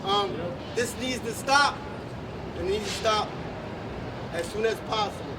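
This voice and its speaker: A man's voice: sits high, measured pace. A man giving a short, hesitant statement at an outdoor protest, with frequent "um"s. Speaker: speaker at a protest press conference. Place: outdoors on a busy city street. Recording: distant street recording with traffic noise.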